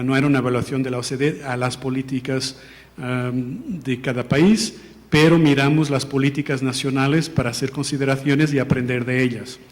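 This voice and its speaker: A man from Portugal with a Portuguese accent, giving a formal report presentation with careful, hesitant phrasing and frequent self-corrections. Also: mid-range voice. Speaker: presenter of an education policy report. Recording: long reverb of a large hall.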